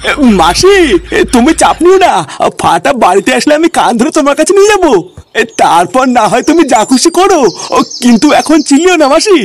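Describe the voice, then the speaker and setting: A man voicing an excitable village elder in a comedy cartoon, with animated rustic speech. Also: extremely high pitch, brisk, slight background noise, exaggerated voice. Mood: flustered. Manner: animated, colloquial rural speech. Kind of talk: exaggerated cartoon comedy dialogue.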